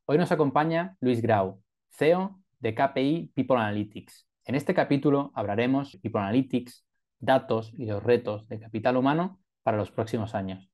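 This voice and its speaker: Male interview host, mid-pitched voice, friendly, stumbles and repeats words. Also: normal speed, darkish tone. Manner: friendly, stammers and repeats words, tags questions with "¿no?". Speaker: podcast host. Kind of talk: interview hosting.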